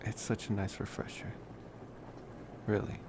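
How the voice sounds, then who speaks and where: A man playing an affectionate young college vampire in an intimate ASMR boyfriend roleplay, speaking softly and gently in short, hesitant phrases. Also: measured pace, noisy background, close to an everyday voice. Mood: sincere, grateful.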